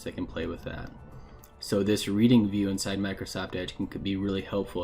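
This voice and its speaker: A man narrating a casual software tutorial in a plain, informal, explanatory way. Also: lowish voice. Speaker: instructor giving a software tutorial.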